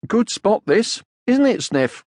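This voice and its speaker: A man's voice: high voice, big pitch swings, takes it slowly, darkish tone, dry recording, studio quality, voice pushed up, exaggerated voice. A man playing an earnest, plucky young male troll in a children's storybook, exclamatory and easily indignant. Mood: secretive.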